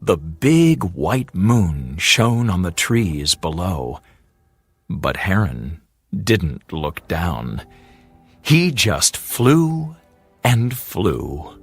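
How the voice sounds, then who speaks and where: A storyteller narrating a fairy tale for English learners in a deliberate, expressive way, drawing out repeated words. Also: sits low, husky, dry recording, soft background music.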